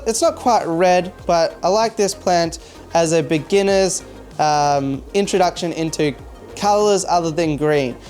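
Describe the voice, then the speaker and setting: Chatty Australian man with easygoing delivery. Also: high voice. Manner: relaxed, chatty, with frequent "um" and "uh". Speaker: aquarium hobby youtuber. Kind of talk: casual talk to camera. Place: home fish room.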